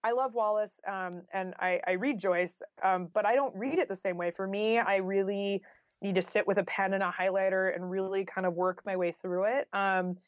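A woman's voice: medium pitch, steady tone, normal speed, dry recording. Woman in dull, dry audio. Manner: conversational, thoughtful, frequent "like" and "you know". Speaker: interview guest, nonfiction author. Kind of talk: casual, reflective interview talk.

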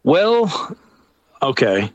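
A middle-aged man telling a story in a casual, comedic way. He is animated, acts out other people's lines and laughs often. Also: medium pitch, extremely slow, no room sound. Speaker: storyteller guest.

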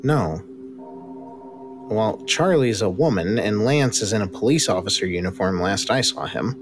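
A man voicing a weary, guarded older woman survivor who answers in halting, hesitant bursts. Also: on the low side, animated, music in the background, natural voice.

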